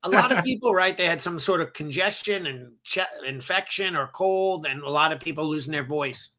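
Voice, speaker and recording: Podcast guest: man, New York accent, a touch hoarse, very bright and a little high, energetic and chatty. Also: moderate pace. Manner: energetic, rambling, self-correcting. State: slightly hoarse. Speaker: martial arts school owner, guest. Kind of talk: casual podcast interview.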